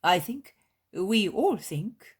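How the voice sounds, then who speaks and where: A woman's voice: medium pitch, animated, husky, pitched above the performer's own voice. A woman voicing a stately old male synagogue leader in a religious story, formal and respectful. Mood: respectful, measured. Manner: formal, deliberate. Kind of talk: dramatised religious story reading.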